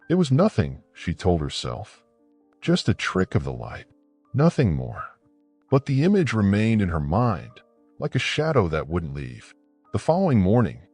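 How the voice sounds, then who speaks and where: A man's voice: fairly low pitch, a little mellow, slightly rough, no room sound. A man narrating a scripted folktale as a dramatic storyteller.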